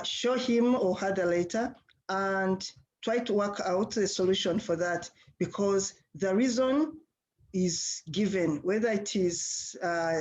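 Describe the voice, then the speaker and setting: Woman panelist, middle pitch, plain listing delivery. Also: a little mellow. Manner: plain, lists options with fillers. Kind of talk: advisory talk in an online panel.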